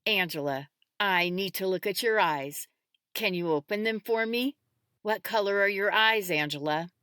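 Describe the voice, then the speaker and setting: A woman voicing a capable, practical female medic, brisk and directive yet calm, in an audiobook. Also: mid-range voice, no room sound, clean studio sound. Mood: gentle.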